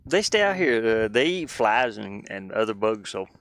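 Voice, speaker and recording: A man chatting casually like a streamer, thinking aloud in a halting, rambling way. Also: lowish voice, sing-song, a bit bright. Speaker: streamer and hobby game designer. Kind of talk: casual rambling livestream chat.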